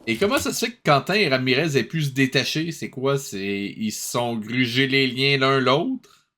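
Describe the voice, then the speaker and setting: A man's voice: medium pitch, expressive, moderate pace, sharp tone. A man with a Québécois accent giving casual, mocking, incredulous commentary as host of a cartoon review.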